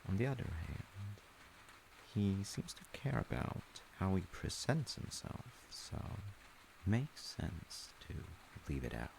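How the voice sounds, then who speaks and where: Deep, slow, gravelly storytelling dad. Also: male voice, sing-song, background noise. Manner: reflective, measured, explaining with pauses. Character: gentle, thoughtful dad telling his child a folktale. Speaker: storyteller, audio roleplay voice actor playing a dad. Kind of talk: intimate improvised-sounding bedtime storytelling and chat.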